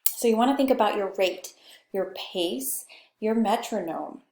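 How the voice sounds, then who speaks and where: A soft-spoken woman with a Pacific Northwest accent giving a conversational recorded course lecture, often checking in with "okay". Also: medium pitch, unhurried, roomy sound. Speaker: instructor.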